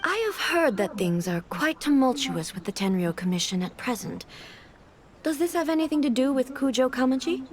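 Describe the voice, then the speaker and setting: A woman voicing a dignified immortal ruler in a video game, speaking in a measured, formal, thoughtful way. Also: high voice, sing-song, a little hiss or hum. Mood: composed, inquiring.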